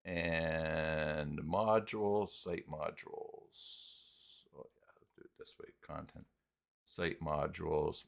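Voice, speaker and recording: Man, deep voice, very slow delivery, casual tutorial host, muttering his thoughts aloud, unscripted. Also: gravelly. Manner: thinks aloud, muttering and humming filler syllables between steps. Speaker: live stream host and web tutorial presenter. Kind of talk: casual unscripted screen-share software tutorial.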